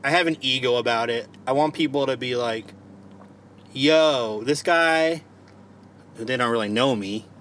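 Joking Baltimore-accented podcast host. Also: male voice, medium pitch, measured pace, a bit bright, slight background noise. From Maryland. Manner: joking, swears freely. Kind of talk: casual chat. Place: parked car. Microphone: home podcast mic.

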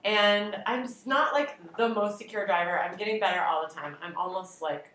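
A woman's voice: mid-range voice, normal speed, a bit bright, echoey room, rough recording. A woman co-hosting a podcast, telling a long self-deprecating story in a casual, rambling way. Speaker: podcast co-host. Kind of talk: casual chat.

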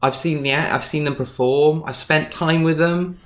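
Animated man chatting casually. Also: fairly high pitch, moderate pace. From Wales. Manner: animated, emphatic, acts out imagined dialogue. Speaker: stage magician being interviewed. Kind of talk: casual conversational interview.

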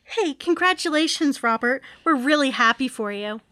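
A woman's voice: high voice, expressive, moderate pace, pushed and bright, no room sound, studio quality. A woman playing an exasperated, sarcastic wife in an amateur sitcom table read.

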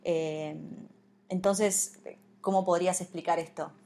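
A woman interviewing a writer, who asks long circling questions full of fillers and pauses. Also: lowish voice, steady tone, darkish tone. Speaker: radio interviewer.

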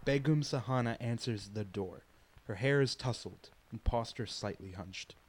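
A man's voice: mid-range voice, moderate pace, slight background noise, lightly acted. A man playing a composed narrator, formally describing scenes in a scripted radio play.

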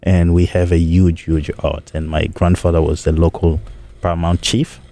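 Deep-voiced Congolese man, mellow tone. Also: little pitch movement. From eastern Congo. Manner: measured, pauses often with fillers. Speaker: guest. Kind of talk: interview conversation.

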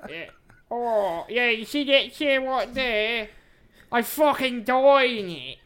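Man as cranky demon ghost of a dead man, slow, profane, raised voice. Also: very high-pitched, lively intonation, a bit bright, clean tone, pitched above the performer's own voice, exaggerated voice. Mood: cranky, demanding. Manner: drawn-out, profane.

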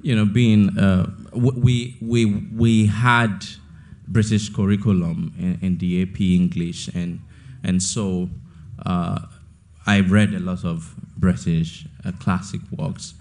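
Deep, flat-voiced young man from Nigeria. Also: slow. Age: 20s. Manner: thoughtful, repeats words as he gathers thoughts. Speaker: author being interviewed. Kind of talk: onstage interview.

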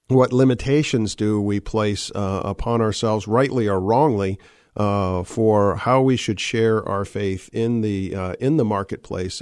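A middle-aged man hosting a radio talk show, a little hoarse from a cold, talking with many "uh" fillers and restarts. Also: fairly low pitch, a little mellow, dry recording, clean studio sound. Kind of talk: live radio show opening monologue. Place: radio studio. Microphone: studio microphone.

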